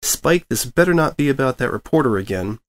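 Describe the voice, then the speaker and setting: A man's voice: medium pitch, lively intonation, fairly quick, lightly acted. Man voicing a stern, bookish female unicorn. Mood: aggravated. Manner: exasperated, dry, wordy.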